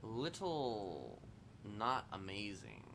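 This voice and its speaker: A man with a fairly low, creaky voice chats casually as he streams a roleplay game. His pitch moves around a lot as he mutters hesitantly to himself and swears freely. Loud vehicle and street ambience from the game runs behind his voice. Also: wildly swooping pitch, very slow, noisy background. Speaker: livestreamer playing a roleplay game. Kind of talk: casual improvised roleplay chat while gaming.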